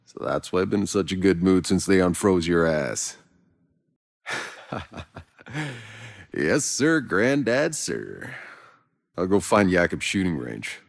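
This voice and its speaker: Deep, gravelly, world-weary soldier. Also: male voice, big pitch swings, slow, long pauses, long reverb tail, exaggerated voice. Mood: wry, teasing. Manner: blunt, sardonic, casual and profane. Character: gruff, world-weary sardonic male soldier and survivor. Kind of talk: video game character dialogue.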